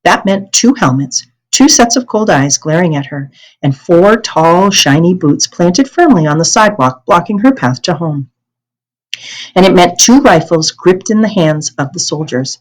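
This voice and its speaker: A woman's voice: on the low side, lively intonation, normal speed, low-quality recording. An American woman reading a storybook aloud as a teacher would, expressively and carefully, with small stumbles and corrections. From United States.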